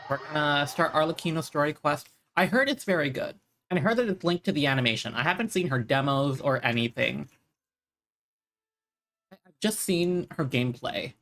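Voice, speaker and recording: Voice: medium pitch, expressive, normal speed, a bit bright. A streamer giving informal, rambling live commentary on a game, thinking aloud. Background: game music.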